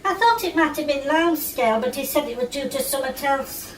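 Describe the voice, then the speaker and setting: A woman's voice: high-pitched, fairly quick, close to an everyday voice. A woman playing a lonely, nagging older mother with a Northern English accent in an audio drama, plaintive and homely. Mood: chatty, fussing. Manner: guilt-tripping, plaintive, homely. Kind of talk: character acting in audio drama. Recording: roomy, distant mic, faint noise.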